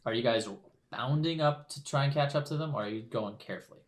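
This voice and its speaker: A young man narrating as game master of a tabletop game, in a loose, halting way full of fillers. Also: mid-range voice. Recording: echoey room.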